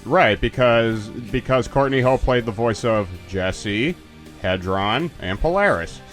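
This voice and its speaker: A man's voice: mid-range voice, slow pace, fairly bright tone, faint music behind. A man casually chatting and joking as a commentator while a game's credits roll. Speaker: let's play commentator. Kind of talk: casual game commentary chat.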